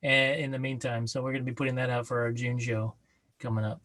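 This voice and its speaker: A man's voice: mid-range voice, level tone, fast, fairly bright tone, dry recording. A man in casual conversation on a video call, talking loosely and repeating his phrases. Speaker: drama teacher and comedy troupe member. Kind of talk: casual chat. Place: remote group video call.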